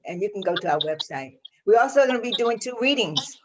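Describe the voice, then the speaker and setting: A woman's voice: mid-range voice, lively intonation, fairly quick. A woman making informal announcements on a group video call. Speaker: theatre staff member. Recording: muffled call audio, faint noise.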